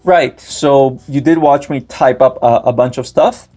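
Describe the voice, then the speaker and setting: A man's voice: mid-range voice, measured pace, warm tone, soft background music. A young man whose first language is Greek, giving a step-by-step screen-recorded tech tutorial with frequent filler sounds. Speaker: tutorial host.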